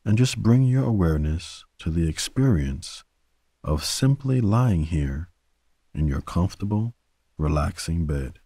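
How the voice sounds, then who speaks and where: A man guiding a sleep meditation in a gentle, soothing manner, leaving long pauses between short phrases. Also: low-pitched, expressive, slightly rough, dry recording, clean studio sound. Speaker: meditation guide. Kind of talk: guided sleep meditation narration.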